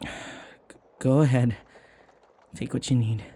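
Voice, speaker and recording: A man playing a flustered, submissive servant in an intimate audio roleplay, hesitant and apologetic, stammering with a breathy voice. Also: medium pitch, steady tone, a little slow, mellow. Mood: shy, resigned. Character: flustered, submissive young male servant devoted to his vampire mistress.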